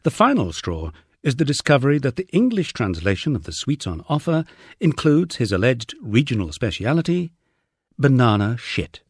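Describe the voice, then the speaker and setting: A man's voice: mid-range voice, sing-song, moderate pace, breathy edge, no room sound. A man narrating a first-person memoir audiobook in a wry storytelling manner.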